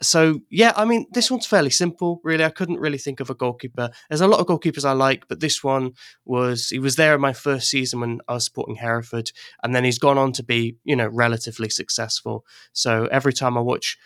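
A man's voice: mid-range voice, brisk, fairly bright tone, clean tone, no echo, studio quality. An English-born man chatting casually as a podcast guest, rambling a little, restarting sentences and laughing often. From England. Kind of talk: casual chat.

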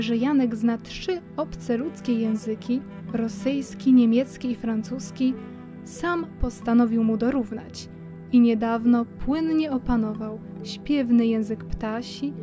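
Higher-pitched woman narrating over music. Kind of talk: scripted children's story narration.

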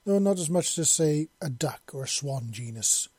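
An imperious bird-woman mistress from an audiobook tale, performed by a man. She speaks formally and precisely, curious yet used to giving orders. The voice is a little rough and mellow, recorded very dry. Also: a little high, animated, normal speed, no echo. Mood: commanding. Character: imperious, curious female bird-creature mistress, commanding and innocent.

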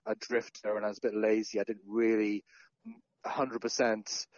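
A man in his forties, raised in Sri Lanka and North Wales, talking about his career as a guest in a podcast interview. He speaks in a relaxed, self-deprecating way with joking asides. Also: fairly low pitch, moderate pace, no echo, lo-fi sound. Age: 40s. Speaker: podcast guest, journalist and founder. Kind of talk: casual interview conversation.

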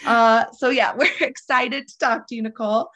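Casting: woman podcast co-host, loose and rambling, quick to laugh, talking over a video call. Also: a little high, fairly even intonation, a bit bright, highs cut off. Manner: chatty, rambling, laughs often. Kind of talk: casual conversational interview.

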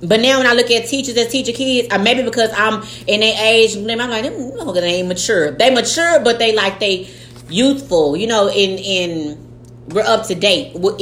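Casual podcast co-host, woman, late 30s, swears freely, echoey room with faint hum. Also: mid-range voice. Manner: animated, slangy, swears freely. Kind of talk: casual chat.

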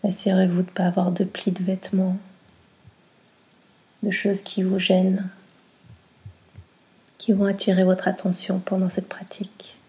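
A woman guiding a relaxation meditation in a soft, breathy, soothing way, in short phrases with long pauses. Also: mid-range voice, completely flat delivery. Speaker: yoga nidra guide.

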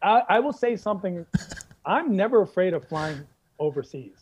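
An American man telling comic stories in casual conversation, animated and emphatic, repeating phrases for effect. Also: sits high, moderate pace, heavy background noise. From United States. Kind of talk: comedic storytelling in casual chat.

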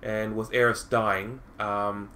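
A man's voice: lowish voice, takes it slowly, a little hiss or hum. A man hosting a podcast interview, talking casually with lots of ums and restarts. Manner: hesitant, many ums and restarts, animated when asking questions. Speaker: podcast host.

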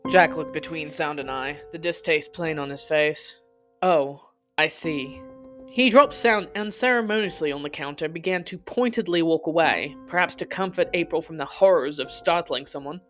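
Low-voiced woman narrating a story over music. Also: normal speed, hardly any pauses, a bit bright. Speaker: podcast host and narrator. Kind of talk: scripted first-person story narration.

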